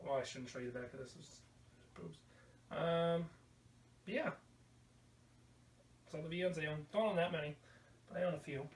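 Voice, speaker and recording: A man hosting a casual video podcast, rambling through a show-and-tell with an irreverent, crude sense of humor. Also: fairly high pitch. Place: indoor room at home. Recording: roomy, distant mic, faint background noise.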